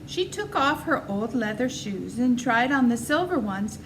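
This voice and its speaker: Higher-voiced woman reading a children's story. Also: animated, measured pace, background noise. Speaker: storybook reader. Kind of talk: read-aloud children's story narration.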